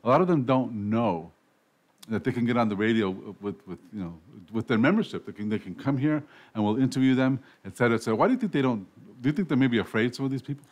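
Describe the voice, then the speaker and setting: A man's voice: medium pitch, lively intonation, fast, dull audio. A man hosting a casual radio interview show, rambling with frequent restarts and self-corrections. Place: radio studio.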